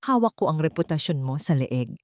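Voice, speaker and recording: A woman's voice: sits low, expressive, fairly quick, dry recording, clean studio sound. A woman voicing a manipulative, sarcastic scheming fiancée in a dramatic audio story. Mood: sneering, defiant. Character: scheming fiancée, fake-tearful pleading turning cold and sneering.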